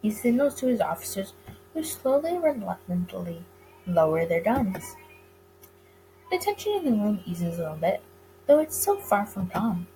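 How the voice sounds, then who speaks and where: A woman's voice: medium pitch, very animated, normal speed, warm tone, soft background music, low-quality recording. A woman reading visual novel text aloud dramatically, with casual asides of her own.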